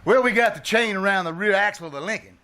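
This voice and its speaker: A man's voice: high voice, fast talker, sharp tone. A man playing an exasperated, sarcastic bandleader husband with a folksy, wisecracking manner in a 1940s-style radio sitcom. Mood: scheming. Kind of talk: 1940s radio sitcom acting, live stage re-creation. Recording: live theater stage, faint noise.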